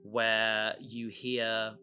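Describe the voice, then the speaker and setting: A man in a casual podcast discussion, explaining his creative work in a halting way, thinking aloud. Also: lowish voice, completely flat delivery, sharp tone, clear, resonant tone, faint music behind. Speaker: audio drama creator.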